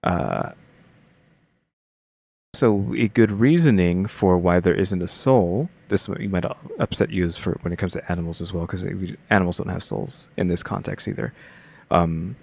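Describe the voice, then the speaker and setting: A man with a very deep voice talks casually while reacting to a video. He thinks out loud, rambles and fills gaps with uh and like, sometimes rushing ahead and sometimes slowing right down. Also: creaky, breathy edge. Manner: rambling, many fillers, pace jumps between slow and rushed.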